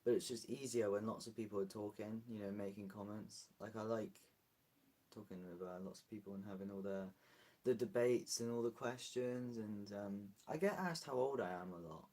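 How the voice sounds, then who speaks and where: A man's voice: lowish voice, normal speed, mellow. A British man streaming live, chatting casually and rambling as he answers viewer comments. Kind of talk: casual livestream chat.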